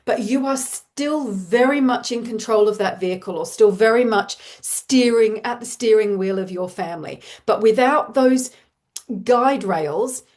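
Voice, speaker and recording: A woman in her forties with an Australian accent, a parenting coach giving an online workshop in a warm, reassuring, chatty way with fillers. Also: on the high side, measured pace.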